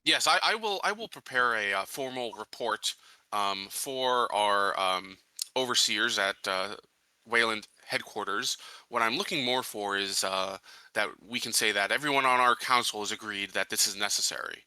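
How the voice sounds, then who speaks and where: A man playing a tabletop roleplaying game, speaking casually and haltingly as he searches for words. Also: lowish voice, sharp tone, clear, resonant tone, no room sound, low-quality recording.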